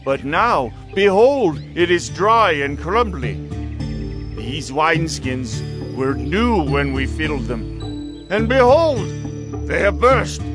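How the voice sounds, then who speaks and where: A man's voice: on the high side, very animated, takes it slowly, music in the background. A man playing a humble, anxious envoy in a dramatized audio Bible, pleading earnestly. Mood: earnest, persuasive.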